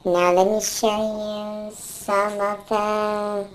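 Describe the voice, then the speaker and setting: A man's voice: high voice, flat delivery, very slow, a little hiss or hum, voice pushed up, rough recording. A man voicing a dismissive female-sounding character through a voice changer.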